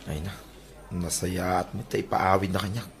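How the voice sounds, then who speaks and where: An actor with an Ilocano Filipino accent playing a bashful husband who gets drunk and silly, in a radio comedy drama. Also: male voice, low-pitched, level tone, normal speed, gravelly. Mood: shy, polite.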